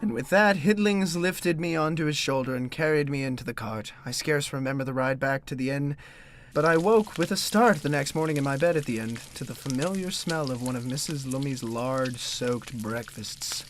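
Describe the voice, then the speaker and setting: An actor playing an impertinent, bumbling young gentleman, narrating a gothic parody audio drama in formal, wordy style. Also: mid-range voice, normal speed, slight background noise, close to an everyday voice. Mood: earnest storytelling.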